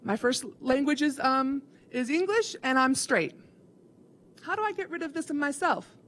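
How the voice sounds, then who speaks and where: A woman's voice: high-pitched, expressive, normal speed, fairly bright tone. A woman from Chicago giving an earnest, instructive keynote talk to educators. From Chicago, USA. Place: conference hall.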